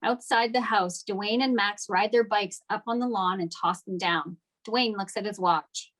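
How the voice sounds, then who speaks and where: A woman serves as narrator at a script table read, reading out the action in scripted narration. Her voice is mid-pitched. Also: a little slow, a bit bright. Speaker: narrator of stage directions.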